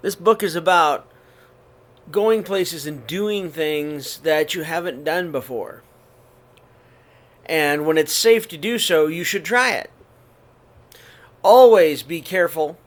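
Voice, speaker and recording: A middle-aged man reading a children's picture book aloud in his living room, then talking warmly to young listeners, with a gentle, unhurried pace and the odd throat clear. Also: fairly high pitch. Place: living room, seated on a sofa before curtains. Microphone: webcam or laptop camera at close range.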